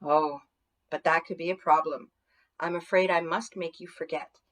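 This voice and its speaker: A woman voicing a stern but forgiving motherly spirit of nature in a children's storybook, measured and commanding. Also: deep voice, fairly even intonation, a bit bright. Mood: gentle, firm.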